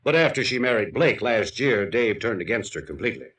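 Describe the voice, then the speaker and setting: An actor playing a weary, earnest male town mayor in a 1950s radio drama, speaking in a measured, careful, resigned way. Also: lowish voice, animated, fairly bright tone, far from the mic. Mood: earnest, guarded. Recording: off-mic vintage radio recording.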